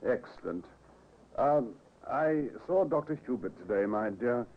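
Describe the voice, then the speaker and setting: A man's voice: mid-range voice, lively intonation, measured pace. An actor playing a fretful, proud, sickly husband in his fifties in 1950s radio drama, formal and hesitant. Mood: subdued. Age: 50s. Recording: tinny, hissy old radio recording.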